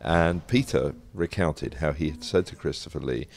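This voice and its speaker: A man's voice: very deep voice, moderate pace, gravelly. An older British man talking conversationally as an interview guest, telling anecdotes in a discursive, self-correcting way. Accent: British English. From Britain.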